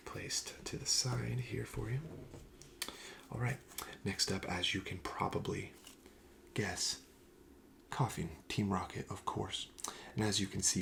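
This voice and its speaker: A man speaking softly and breathily, often near a whisper, gently explaining wares as a shop clerk in an ASMR roleplay. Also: low-pitched, creaky, a little hiss or hum. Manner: gentle, explanatory sales patter. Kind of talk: soft-spoken ASMR roleplay.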